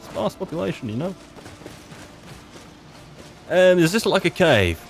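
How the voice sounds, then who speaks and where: A man's voice: high voice, sing-song, measured pace, vocal fry, soft background music. A man improvising in character as he plays a fantasy game, with wry, hesitant muttered asides. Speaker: roleplay video maker and player.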